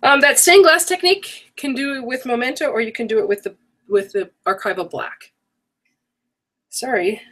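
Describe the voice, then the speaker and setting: A woman's voice: fairly high pitch, normal speed, a bit bright. A middle-aged woman hosting an online craft workshop, rambling and correcting herself as she goes, her voice worn from a coming cold. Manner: chatty, rambling, self-correcting. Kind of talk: casual live-stream chat.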